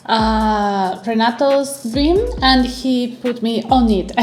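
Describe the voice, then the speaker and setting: A woman, answering interview questions in thoughtful, unhurried English. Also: on the high side, roomy sound, slight background noise. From Spain. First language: Spanish. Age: 40s. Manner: unhurried, thoughtful, laughs softly. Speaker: interviewee, overlander. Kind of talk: casual interview.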